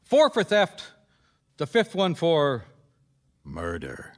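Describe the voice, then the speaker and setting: A man's voice: fairly high pitch, huge pitch swings, slow, full character voice. An actor playing a bossy, impatient male private detective in a radio mystery, sarcastic and commanding as he interrogates. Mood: sarcastic, warning. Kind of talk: radio detective drama acting.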